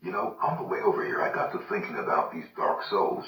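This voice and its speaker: An actor playing a weary, tough hero haunted by his dead brother, talking gruff and impatient. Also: deep voice, sing-song, fast, pushed and bright, heavily acted. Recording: echoey, distant game audio, noisy.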